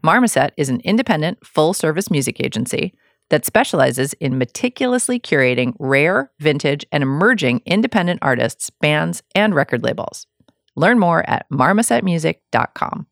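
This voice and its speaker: Female podcast host with a creaky voice. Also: low voice, very animated, moderate pace, no echo, studio quality. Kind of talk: podcast interview and sponsor reads.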